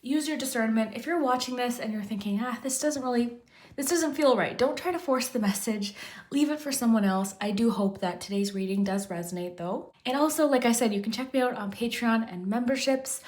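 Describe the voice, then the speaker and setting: A woman talks her viewers through a weekly tarot reading in a loose, rambling way, with lots of filler and restarts. Her voice sits a little higher than most and sounds slightly hoarse, as if she is losing it. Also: fairly quick, a little mellow. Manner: chatty, rambling, frequent "kind of" and restarts. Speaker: tarot reader, channel host. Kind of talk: casual pick-a-card tarot chat to camera.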